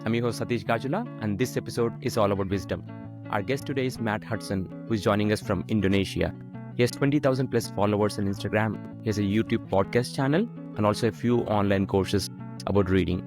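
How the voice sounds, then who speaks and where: A man with a fairly low voice hosts a podcast interview. He phrases his questions conversationally and a little haltingly. Clear plucked guitar music plays behind him.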